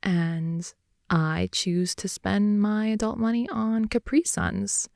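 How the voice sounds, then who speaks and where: A young woman improvising an intimate ASMR girlfriend roleplay, rambling in a playful, slangy way and slipping between soft whispers and more animated talk, close to the mic. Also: mid-range voice, takes it slowly, creaky, no room sound. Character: wide-awake chatty girlfriend, silly and playful. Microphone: close microphone, dry sound.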